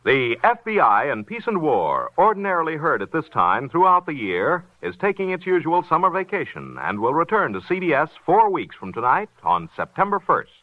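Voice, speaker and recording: Male 1940s network announcer, highly animated, thin old broadcast sound. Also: normal speed, few pauses, dry recording. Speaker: radio announcer. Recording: very muffled 1940s radio recording.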